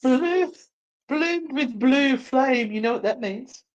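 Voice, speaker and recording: A woman's voice: high-pitched, animated, slow pace, highs cut off. A woman co-hosting and narrating a live dramatic story reading, playful and theatrical, slipping into chatty banter. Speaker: co-host and narrator.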